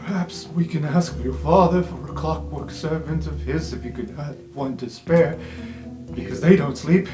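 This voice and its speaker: Exhausted young male underling, played by a man, polite and jittery, halting delivery; roomy sound, light music behind. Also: fairly high pitch, lightly acted. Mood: frazzled, pleading. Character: exhausted, jittery, deferential young underling calling his superior sir. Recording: echoey room, music underneath.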